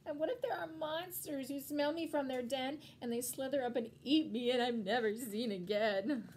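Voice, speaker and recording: A woman voicing an excitable, anxious little boy penguin in a children's storybook, childlike and exclaiming. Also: extremely high pitch, moderate pace, slight background noise, voice pushed up. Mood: anxious, pleading. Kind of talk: children's picture-book read-aloud.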